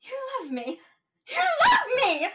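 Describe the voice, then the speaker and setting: A woman acts out a seductive, untrustworthy femme fatale in 1940s radio style. Her voice is high and she draws her words out slowly, pleading and melodramatic, with halting breaks. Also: huge pitch swings, fairly bright tone, exaggerated voice. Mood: distraught.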